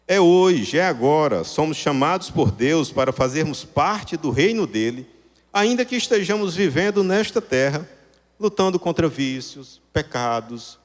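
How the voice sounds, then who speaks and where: A man preaching a sermon to a congregation, explaining didactically and rephrasing points with rhetorical questions. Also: fairly high pitch. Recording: reverberant hall sound.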